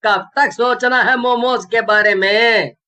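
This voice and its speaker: A woman voicing a devoted, practical young husband in an animated horror story, indulgent and reassuring with a teasing edge. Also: a little high, slow, voice pushed up. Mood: teasing, chiding. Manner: reassuring, gently chiding.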